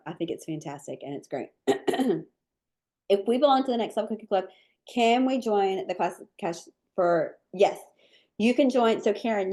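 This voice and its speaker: A woman with a low voice, a cookie decorating teacher answering viewer questions in a live webinar, chatty and relaxed with many ums and likes.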